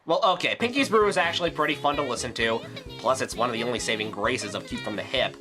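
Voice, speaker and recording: A man's voice: medium pitch, animated, fast, over music. A man delivering a scripted ranting review in a sarcastic, exasperated, emphatic way. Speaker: video reviewer.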